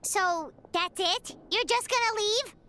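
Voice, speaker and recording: A woman voicing a tiny, childlike fairy companion with a squeaky voice, excitable and easily puzzled, in an anime-style game. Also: slow pace, exaggerated voice. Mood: confused. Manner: speaks of herself in third person.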